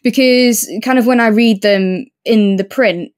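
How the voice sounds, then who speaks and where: A woman's voice: fairly high pitch, measured pace. A woman co-hosting a podcast, chatting casually and thinking aloud as she reviews an audiobook. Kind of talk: casual chat, book review discussion.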